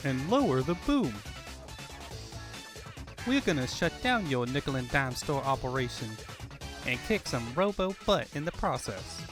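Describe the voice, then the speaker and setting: A man's voice: mid-range voice, big pitch swings, unhurried, long pauses, music in the background. A man voicing a sassy, folksy young Southern rabbit woman in a cartoon-style comic dub. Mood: feisty. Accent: American South. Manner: folksy, drawling.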